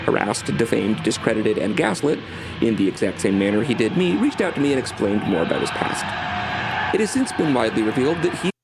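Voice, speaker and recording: A man's voice: lowish voice, sing-song, a little fast, rough recording. A man narrating his own scripted video, heard as playback. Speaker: video creator. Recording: played-back video with music underneath.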